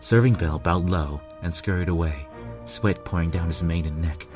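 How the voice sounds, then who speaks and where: A man's voice: low-pitched, moderate pace, loud music behind the voice. A man reading scripted first-person fiction as its narrator.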